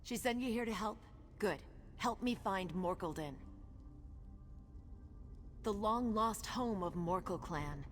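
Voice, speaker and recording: A woman's voice: medium pitch, takes it slowly, pauses often, natural voice. Woman as a determined orc woman in cavernous reverb. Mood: focused, musing. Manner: terse, dry, thinking aloud. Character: determined, impatient orc chief's daughter hunting ancestral relics. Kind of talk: video game character dialogue. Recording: long reverb, loud game music behind.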